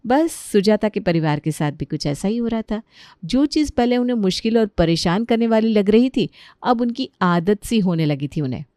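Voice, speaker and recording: A woman's voice: mid-range voice, animated, moderate pace, warm tone, clear, resonant tone, dry recording, studio quality. A woman giving scripted narration for a cartoon story.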